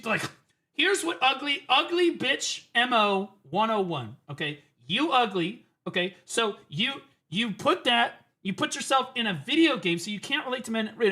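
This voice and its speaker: A man's voice: high voice, bright, forceful tone. A man streaming, reacting to clips with emphatic, profane, rambling commentary. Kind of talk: casual ranting commentary.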